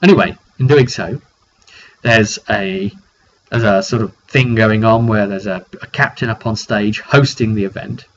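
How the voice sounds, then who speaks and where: A man recounting a tabletop fantasy roleplay session as game master, casual and improvised, in a halting, informal way with some throat clearing. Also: lowish voice. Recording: dull lo-fi audio with faint noise.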